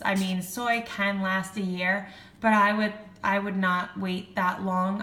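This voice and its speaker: A woman's voice: medium pitch, a bit bright. Chatty stuffed-up young woman vlogging. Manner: chatty, lots of "like" and "um". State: stuffed-up nose and sore throat. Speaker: beauty vlogger. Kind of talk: casual chat. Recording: echoey room with faint hum.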